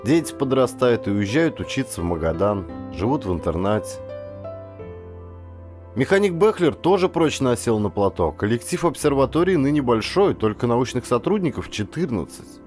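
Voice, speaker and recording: A man reading first-person literary fiction as an audiobook, with a dramatic, deliberate storytelling delivery. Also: mid-range voice, very animated, darkish tone, soft background music. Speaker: audiobook narrator, actor.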